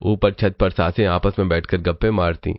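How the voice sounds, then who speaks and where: Low-pitched man narrating a story. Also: steady tone, moderate pace, dry recording, dull audio.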